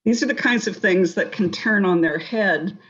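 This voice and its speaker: A woman's voice: mid-range voice, normal speed, a bit bright. Wry, assertive woman panellist, dull sound. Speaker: round table panellist. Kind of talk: panel discussion, giving advice.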